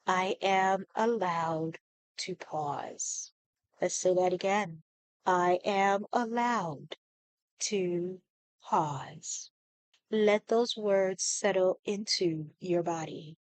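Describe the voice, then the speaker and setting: A woman leading a guided meditation in a soothing, deliberate manner, leaving pauses between short phrases. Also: lowish voice, no echo, poor audio.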